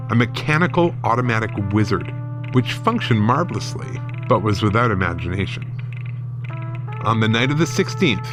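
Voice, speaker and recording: A man narrating a first-person horror story in a dramatic storytelling style. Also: lowish voice. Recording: music bed under the voice. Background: background music.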